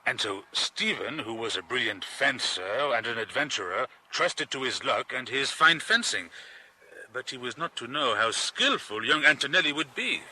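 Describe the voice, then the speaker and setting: An actor playing an excitable, dreamy Frenchman in a British radio drama. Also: mid-range voice, normal speed, slightly rough, heavily acted, poor audio. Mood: intrigued.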